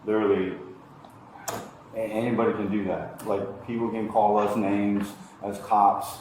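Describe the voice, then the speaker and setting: American male officer, low-voiced informal questioning; heavy echo and noisy bodycam audio. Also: little pitch movement, a little slow. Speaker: police officer.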